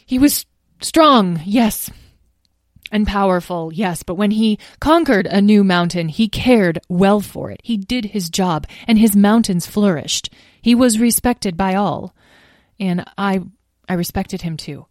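A woman's voice: medium pitch, a little slow, no echo, studio quality, close to an everyday voice. Beatrice, a woman doing a patient mother dragon. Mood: pleading. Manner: calm, explaining, gentle scolding.

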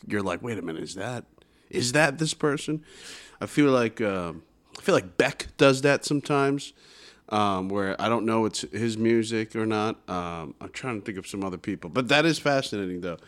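A man's voice: lowish voice, expressive, moderate pace, fairly bright tone. A man hosting an interview who restarts and stammers often as he forms questions. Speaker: podcast host. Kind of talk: casual interview.

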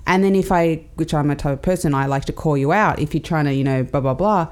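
A woman's voice: sits low, fast talker, a little mellow. A woman from Australia on a casual podcast, telling a personal story with quoted asides and fillers. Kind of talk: casual panel chat.

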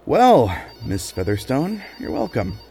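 Guy as a polite lawyer, slow, medium pitch with some lift, music behind. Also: huge pitch swings, mellow, heavily acted. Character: courteous male lawyer. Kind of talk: comic audio drama.

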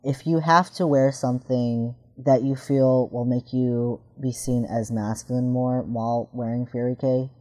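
A man in his twenties with a deep voice, speaking candidly in a casual discussion and thinking aloud with restarts. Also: fairly even intonation, measured pace, few pauses, a little mellow. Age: 20s.

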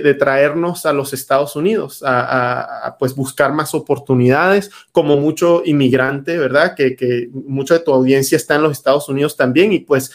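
A man from Venezuela, a guest in an interview telling his family's story in a halting way with many restarts. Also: mid-range voice. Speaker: guest, startup founder.

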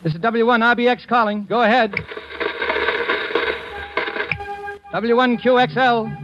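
An actor playing a brisk, insistent, puzzled male engineer in 1940s radio drama style. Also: extremely high pitch, steady tone, loud music behind the voice. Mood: urgent. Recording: dull, hissy 1940s radio recording.

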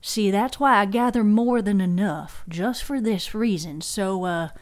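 An unhurried woman storykeeper of the woods, acted by the narrator, speaks in a folksy fiction podcast manner. She is patient and wry, with a gently teasing edge, at an ordinary female pitch. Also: close to an everyday voice. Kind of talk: fiction podcast character dialogue.

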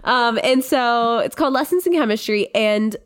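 A woman's voice: on the high side, normal speed. A woman co-hosting a casual book podcast, chatty and emphatic, sounding stuffy-nosed as she recovers from a cold. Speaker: podcast co-host.

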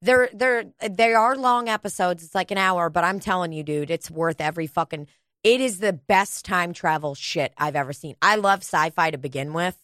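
A woman's voice: lowish voice, moderate pace, fairly bright tone, dry recording, studio quality. A woman co-hosting a podcast, chatting casually and emphatically, swearing often. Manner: profane, emphatic. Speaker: podcast co-host. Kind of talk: casual chat.

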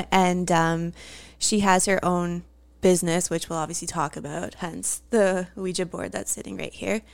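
A woman co-hosting a casual podcast, chatty and quick to laugh. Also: fairly low pitch, steady tone, gravelly, no room sound. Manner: giggly, chatty. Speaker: podcast co-host.

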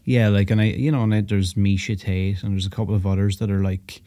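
A man with a low, fairly flat voice and a dry, close sound co-hosts a podcast. He chats casually in a hesitant, roundabout way, leaning on "like" and "you know". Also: a little fast, dry recording. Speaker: podcast co-host. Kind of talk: casual chat.

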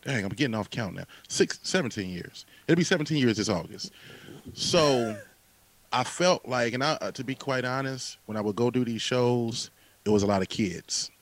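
A man in his late thirties in a casual podcast conversation, talking with animated emphasis, repeating phrases and using casual slang. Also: mid-range voice, normal speed, no echo, low-quality recording. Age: late 30s.

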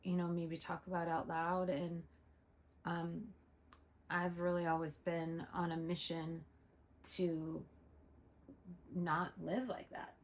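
A woman's voice: fairly low pitch, monotone, slow, pauses often. A woman in her forties co-hosting a podcast, speaking openly and reflectively in a casual conversation. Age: 40s. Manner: open, earnest, reflective. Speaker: podcast co-host.

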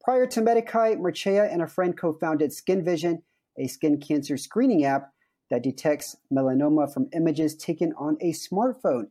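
Male podcast host from the US, high-ish mellow voice, chatty and joking. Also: expressive, normal speed. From United States. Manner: chatty, jokey, laughs often. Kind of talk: upbeat podcast interview.

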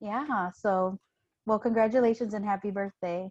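A woman from Chicago talks as a guest on a casual podcast. She chats freely, with a lot of "like" and "you know", in a middle-pitched voice. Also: steady tone, normal speed, darkish tone, dull audio. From Chicago, USA. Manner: chatty, lots of fillers like "like" and "you know".

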